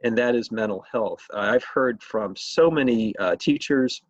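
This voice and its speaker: Husky, halting man hosting an interview. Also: medium pitch. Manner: halting, repeats words. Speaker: state legislator hosting an interview. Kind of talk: conversational interview. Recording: roomy, echoey, muffled video call sound.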